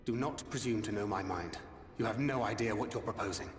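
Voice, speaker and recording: Guy doing a gruffly curt protective warrior, lowish voice, game cutscene, lots of echo. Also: brisk, natural voice. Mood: stern. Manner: terse, stern. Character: guarded, stern male warrior, a protective guardian. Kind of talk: video game cutscene acting. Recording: echoey hall, clear music behind. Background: music.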